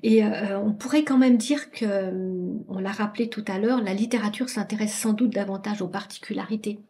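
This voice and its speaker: A woman comments on literature in a loosely spoken way, often repeating words while she thinks. Her voice has a mellow, warm tone and a mid-range pitch. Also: brisk, slight background noise.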